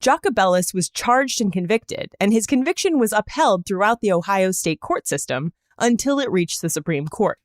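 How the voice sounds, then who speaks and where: A woman's voice: medium pitch, lively intonation, normal speed, few pauses, no echo, clean studio sound. A woman hosting a podcast, reading scripted narration in a conversational storytelling style with wry, joking asides. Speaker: podcast host.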